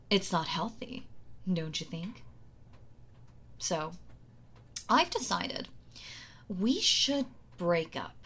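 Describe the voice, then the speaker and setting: A woman's voice: on the low side, heavily acted. A woman playing a possessive yandere wife in an intimate audio roleplay, doting and condescending, with a sweetly menacing manner. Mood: wounded, cold. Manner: slow, coddling, condescending, with sighs and soft laughs.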